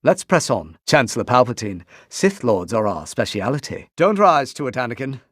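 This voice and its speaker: A man's voice: mid-range voice, sing-song, normal speed, dry recording. A male actor playing a composed, wry Jedi master in a video game, with a refined British accent and dry, formal phrasing. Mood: calm, polite. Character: composed, wry Jedi master, adult man mentoring an apprentice. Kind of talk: video game voice clips, short barks and dialogue lines.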